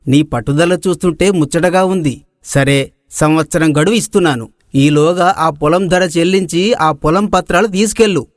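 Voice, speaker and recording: A man's voice: on the high side, moderate pace, no echo, clean studio sound, close to an everyday voice. A man playing a kindly, indulgent older village landowner in a dramatized moral story. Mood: approving. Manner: indulgent, genial.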